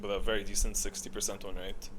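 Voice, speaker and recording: A man's voice: fairly low pitch, level tone, fast talker. Casual man rambling over noise. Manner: rambling, thinking aloud, gamer slang. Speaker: gaming video creator. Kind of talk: casual opinionated tier-list commentary.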